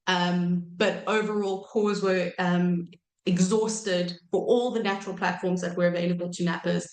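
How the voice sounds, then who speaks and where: Croaky woman lecturing with many ums. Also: on the low side, roomy sound, low-quality recording. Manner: hesitant, many ums and self-corrections. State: croaky from a cold. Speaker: archaeology researcher giving a talk. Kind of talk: academic lecture with slides.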